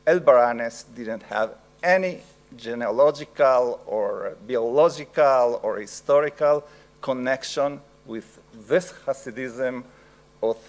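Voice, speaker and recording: Slow Italian-accented man lecturing. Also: medium pitch. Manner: slow and halting, frequent "uh" pauses. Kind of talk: academic lecture.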